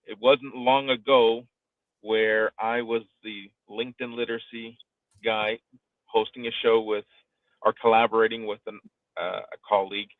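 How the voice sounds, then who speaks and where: Low-pitched man, live streaming coach, emphatic conversational advice, tinny phone-like audio. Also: flat delivery, normal speed, dry recording. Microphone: live online audio room connection.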